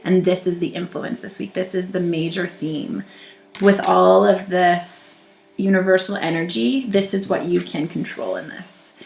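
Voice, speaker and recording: A woman with a groggy voice giving a gentle, reassuring weekly tarot reading, pausing and restarting as she talks. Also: on the low side, creaky, roomy sound, slight background noise. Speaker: tarot reader.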